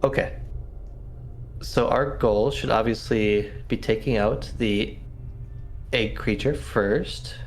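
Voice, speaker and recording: A man's voice: lowish voice, slow pace, pauses often, slight background noise. A man playing a tabletop roleplaying game, chatting casually and thinking aloud as he plans, somewhat hesitantly. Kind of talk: casual in-game planning chat.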